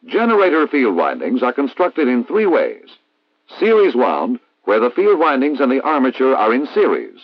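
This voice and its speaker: An American man reading a scripted instructional narration in a formal, measured, didactic way, as in a 1960s military training film. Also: mid-range voice, huge pitch swings, no room sound. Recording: dull vintage 1960s film soundtrack.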